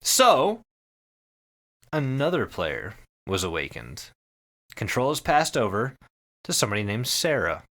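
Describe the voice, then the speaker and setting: A man's voice: mid-range voice, huge pitch swings, measured pace, pauses often, fairly bright tone. A man hosting a podcast, telling a story in casual, emphatic chat with plenty of swearing. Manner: profane, emphatic, repeats phrases for effect.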